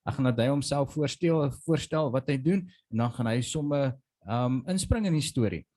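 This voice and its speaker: A man hosting an event who introduces a guest speaker, talking hesitantly with many filler pauses. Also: mid-range voice, expressive, dark tone, no room sound. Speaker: host.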